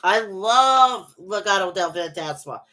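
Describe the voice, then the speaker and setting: A woman's voice: medium pitch, lively intonation, measured pace, sharp tone. Woman, nasal, head cold, stuffy nose, casual recap host, chatty. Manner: chatty, reads match recaps then talks to viewers. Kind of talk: casual wrestling recap and chat.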